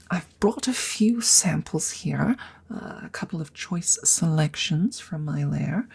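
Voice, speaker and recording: A woman voicing a courteous, eccentric female dragon in an ASMR fantasy roleplay, speaking in a soft breathy whisper with halting, formal, coaxing phrasing. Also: sits low, full character voice. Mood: gently coaxing.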